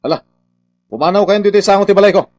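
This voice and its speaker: A man's voice: high voice, very fast, a little mellow, natural voice. A man acting a shifty, defensive Filipino contractor boss in a radio comedy drama, with a blustering manner. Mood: indignant. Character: shifty, defensive contractor boss dodging his workers' wages.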